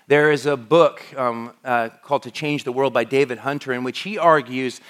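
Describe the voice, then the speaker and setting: A man's voice: medium pitch, moderate pace, fairly bright tone. A middle-aged man from the United States, preaching a sermon as a pastor in a conversational way, often restarting his sentences. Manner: conversational, with frequent restarts and fillers.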